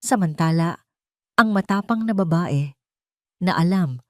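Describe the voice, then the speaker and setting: A woman's voice: fairly low pitch, dry recording, clean studio sound. A woman reading a scripted, dramatic romance story as narrator.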